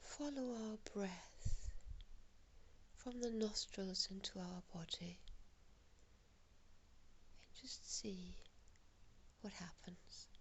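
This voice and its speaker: A woman guiding a relaxation meditation in a soft, breathy, half-whispered voice, giving gentle, hushed instructions with long pauses. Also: medium pitch, a little hiss or hum.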